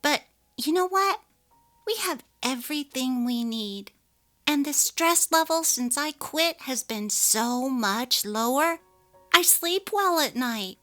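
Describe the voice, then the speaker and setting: A woman's voice: high voice, lively intonation, slow pace, a bit bright, voice pushed up, heavily acted. A woman voicing an earnest, resolute young wife and mother in a dramatically read story, with emphatic, heartfelt delivery. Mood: content. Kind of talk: dramatic reading of a text-message story. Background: music.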